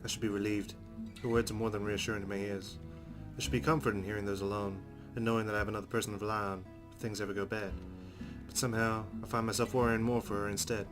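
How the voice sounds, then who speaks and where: A man with a fairly low voice reads story narration from a game at a fast clip. Plucked guitar music plays clearly behind him. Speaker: let's player reading a game aloud. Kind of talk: reading visual novel narration aloud. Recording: music under the voice.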